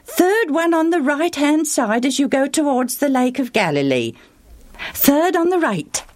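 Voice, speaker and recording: A woman voicing an eager, polite young village boy who chatters brightly in a children's story. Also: high-pitched, very animated, a little slow, voice pushed up.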